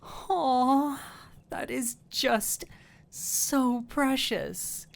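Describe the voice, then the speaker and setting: A woman playing a gentle, anxious fantasy alchemist with a teasing, tender streak in an intimate roleplay audio drama, speaking in a soft, hesitant murmur. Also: high voice, drawn-out words, gravelly, exaggerated voice. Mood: teasing, fond.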